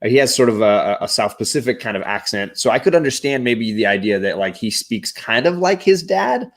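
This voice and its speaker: A man's voice: mid-range voice, animated, fairly quick. A man in a casual pop-culture discussion, opinionated and nitpicking, repeating words for emphasis as a panelist. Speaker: panelist in a live comics chat.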